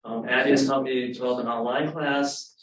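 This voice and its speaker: A man's voice: mid-range voice, fairly even intonation, fairly quick, a bit bright. A man, a longtime chemistry professor, introducing himself candidly and modestly. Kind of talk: casual self-introduction. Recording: echoey room from far off, faint background noise.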